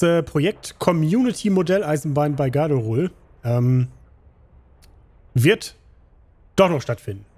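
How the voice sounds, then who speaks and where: Slightly hoarse man with a mellow voice. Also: a little high. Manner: rambling, relaxed, many fillers. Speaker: gaming channel host. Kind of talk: casual game commentary.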